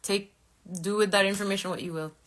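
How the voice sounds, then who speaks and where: Woman, 30s, from Korea, quick and candid, self-deprecating live-stream host. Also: medium pitch, fairly even intonation, fairly bright tone. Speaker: model and livestream host. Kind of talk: casual live-stream chat.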